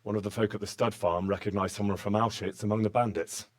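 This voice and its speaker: A man's voice: fairly low pitch, steady tone, fast, dry recording, studio quality, natural voice. A man playing an earnest, plain-spoken young servant of a lord in a medieval video game. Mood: earnest, polite.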